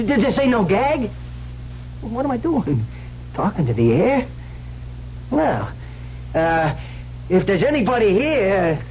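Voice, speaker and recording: A man's voice: very high voice, darkish tone, exaggerated voice. An actor playing a cynical, greedy small-time crook with slangy, stammering tough-guy talk in a radio drama. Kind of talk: 1970s radio drama acting. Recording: dull, hissy old radio recording.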